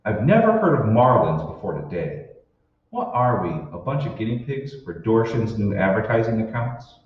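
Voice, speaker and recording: A man's voice: fairly low pitch, big pitch swings, normal speed. An actor playing a bewildered, irritable married office man in an old-time sci-fi radio drama, speaking hesitantly and in exclamations. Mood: exasperated. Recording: roomy, muffled, distant stage recording with noise.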